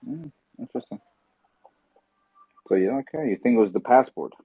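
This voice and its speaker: A man's voice: mid-range voice, expressive, moderate pace, slightly rough, no room sound. Man chatting over a tinny phone line. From South Sudan. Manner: chatty, acts out voices when telling stories. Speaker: podcast host. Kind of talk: casual chat on a group audio call.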